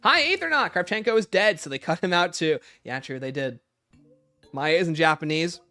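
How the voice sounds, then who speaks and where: A man's voice: a little high, lively intonation, moderate pace, bright, forceful tone, no room sound, soft background music. A man hosting a livestream, casually reading out questions and answering them with emphatic opinions.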